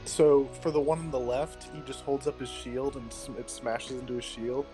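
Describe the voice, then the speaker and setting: A man's voice: mid-range voice, little pitch movement, normal speed. A man playing a tabletop game, casually describing what his character does. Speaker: player. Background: guitar music.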